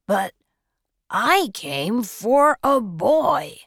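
A woman voicing a shy, timid older farmer in a storybook reading, speaking quietly and hesitantly. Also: a little high, big pitch swings, extremely slow, creaky, slightly rough, no room sound, clean studio sound, pitched above the performer's own voice, full character voice.

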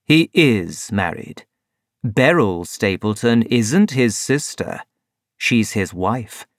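A reader voicing a composed male detective who explains and gives orders in measured tones. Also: on the low side, no room sound, studio quality. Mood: calm. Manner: calm, measured, authoritative. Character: calm, commanding male detective.

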